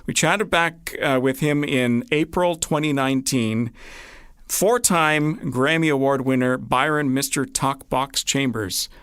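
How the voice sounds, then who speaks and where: A man's voice: mid-range voice, measured pace, a bit bright, clean tone, no echo, studio quality. A man hosting a casual, conversational interview show. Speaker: show host.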